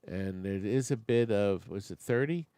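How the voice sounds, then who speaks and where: A man's voice: on the low side, moderate pace, dark tone, smooth, no room sound. A man hosting a live online auction, chatting with bidders and making joking asides while losing his voice. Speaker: auctioneer and show host.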